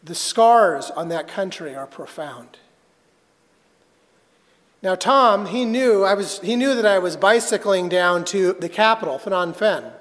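A man preaching a sermon as a pastor, telling a personal story earnestly and haltingly, with dramatic pauses. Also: high voice, animated, fairly bright tone. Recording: reverberant hall.

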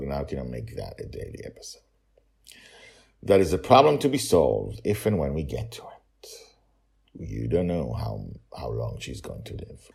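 A man's voice: low voice, gravelly, a little mellow, husky. A middle-aged man hosting his own story podcast, chatting casually and self-mockingly through the outro and credits. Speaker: podcast author and narrator.

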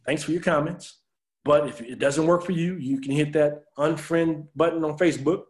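Male American author guest, slightly high voice, earnest and reflective personal storytelling. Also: steady tone, moderate pace. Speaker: author being interviewed.

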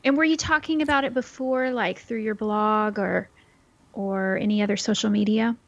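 A woman in her forties co-hosting a casual podcast interview, emphatic and quick with her asides. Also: mid-range voice, fairly even intonation, no room sound. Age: 40s. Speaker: podcast co-host. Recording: dry, dull lo-fi sound.

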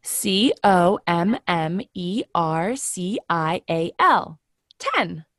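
A woman acting in a play reading as a pedantic, dramatic middle-aged office clerk, deliberate and emphatic. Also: fairly low pitch, expressive, a bit bright, no echo, clean studio sound, full character voice. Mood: insistent. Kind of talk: readers' theatre play reading.

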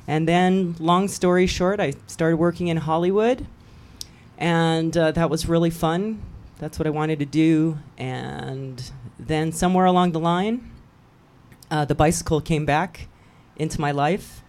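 A woman's voice: low voice, fairly even intonation, unhurried, darkish tone. A woman from Hawaii, a guest on a local radio show, telling her story in a chatty way and laughing easily. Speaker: guest, cycling event promoter. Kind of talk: casual radio chat.

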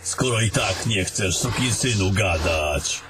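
Man playing a gruff, slow-talking scornful battle mage. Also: on the low side, lively intonation, loud music behind the voice. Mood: defiant, contemptuous. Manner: commanding, contemptuous, coarse. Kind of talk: fantasy audio drama acting.

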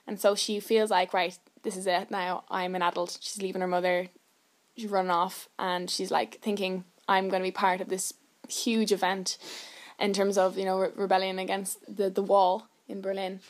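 A woman's voice: medium pitch, level tone, normal speed. A young adult woman with an Irish accent, chatting casually as an actress being interviewed. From Ireland.

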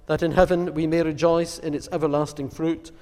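A man with a fairly high-pitched voice speaks as a priest in a large, reverberant space. His delivery is solemn and reverent, in measured devotional phrases. Long reverb trails behind his words. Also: flat delivery, a little mellow. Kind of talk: solemn sermon and recited litany prayers.

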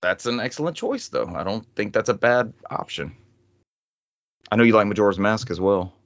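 A man with a lowish voice hosts a gaming podcast in loose, jokey banter. The sound is very dry, with a slightly dull, lo-fi edge. He is happy to swear along the way. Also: expressive, moderate pace, dry recording. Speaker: podcast host.

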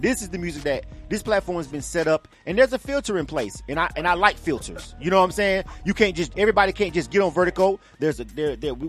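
Man, high voice, rapid delivery, emphatic slang, repeated words, casual radio co-host, faint music in back. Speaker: radio program director, co-host. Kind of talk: casual, unscripted call-show talk.

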